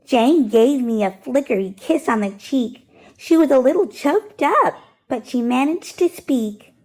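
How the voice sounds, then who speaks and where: A woman hosting storytime, reading a rhyming picture book aloud in an expressive, rhythmic, sing-song way. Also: high voice, a little slow, low-quality recording.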